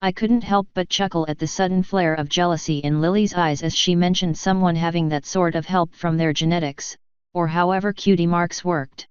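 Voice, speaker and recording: A woman's voice: fairly low pitch, steady tone, moderate pace, clear, resonant tone, dry recording, lo-fi sound. A woman narrating a first-person fantasy story as an audiobook reader. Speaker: audiobook narrator of fan fiction.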